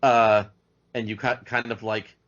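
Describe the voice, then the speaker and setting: A man talking casually as a podcast guest, halting and repeating words as he goes. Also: fairly low pitch, little pitch movement, fairly bright tone. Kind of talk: casual chat. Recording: dull lo-fi audio.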